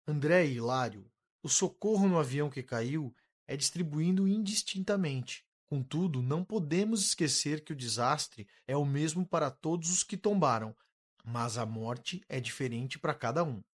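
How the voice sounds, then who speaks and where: A man playing a serene, higher-voiced Brazilian spirit mentor. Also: expressive, moderate pace. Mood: serene, firm. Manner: serene, firm, formal and instructive. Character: serene, fatherly male spirit mentor and institution director. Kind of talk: scripted radio drama acting.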